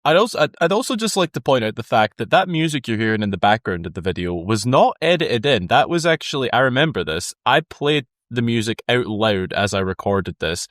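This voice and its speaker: A man's voice: mid-range voice, sing-song, fairly quick, hardly any pauses, a bit bright, no echo, studio quality. A Scottish man in his twenties hosting his own channel, chatting casually and teasing himself with amused asides. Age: 20s. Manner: self-mocking, amused, chatty with restarts. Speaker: YouTube host. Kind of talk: casual reaction commentary.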